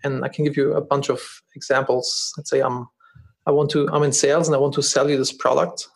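A man's voice: mid-range voice, little pitch movement, a little fast, vocal fry. A man with a German accent, a guest on a podcast, talking earnestly in a casual interview and often repeating words as he restarts. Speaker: podcast guest, business founder.

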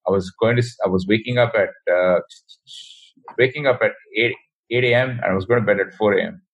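Fast-talking man with a husky voice. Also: lowish voice, lo-fi sound. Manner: fast, rambling, frequent restarts. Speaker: guest, ecommerce founder. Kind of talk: casual interview talk.

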